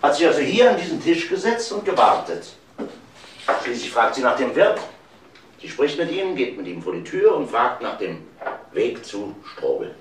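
An actor playing an insistent, accusing police inspector in a German crime audio play, questioning with deliberate emphasis. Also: male voice, mid-range voice, very animated, slight background noise, lightly acted. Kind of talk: German radio crime drama acting.

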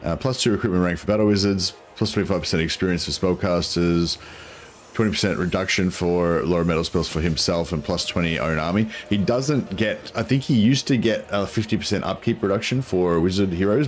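A man, a croaky voice and a headset, chatting casually while streaming a strategy game, rambling with many ums. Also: low voice, fast talker. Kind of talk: casual gameplay commentary.

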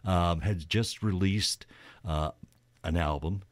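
A man's voice: sits low, slow, dry recording. A man co-hosting a radio show, chatting casually and explaining things in an informal, hesitant way. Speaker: radio co-host. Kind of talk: casual music chat.

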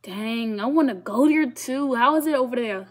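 A woman's voice: a little high, normal speed. Teasing young woman on video chat. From Ohio. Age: 20s. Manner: blunt, teasing, slangy. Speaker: streamer chatting with strangers on video calls. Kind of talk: casual chat. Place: small bedroom indoors.

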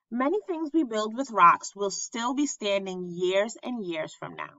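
A woman reading aloud to children as a teacher, deliberate and clearly enunciated, in an instructional way. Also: medium pitch, bright, forceful tone, no echo, lo-fi sound. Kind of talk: children's read-aloud lesson narration.

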